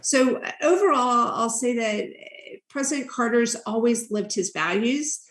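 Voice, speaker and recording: A woman speaking as a panel guest, giving prepared remarks in a measured, speechlike way. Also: a little high, lively intonation. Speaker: nonprofit CEO, panel guest. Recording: roomy echo.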